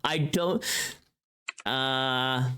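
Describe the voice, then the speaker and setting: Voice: medium pitch, drawn-out words, noisy background. A streamer chatting casually on a livestream in a playful, teasing, sweary way.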